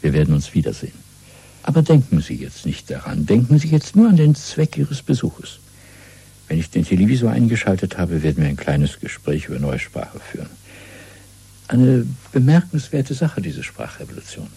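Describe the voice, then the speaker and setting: An actor playing a calm, commanding middle-aged party official in a German radio play, speaking in a measured, didactic and coolly authoritative way. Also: male voice, low voice, creaky, slightly rough, exaggerated voice. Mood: cool, instructing, then urbane, lecturing.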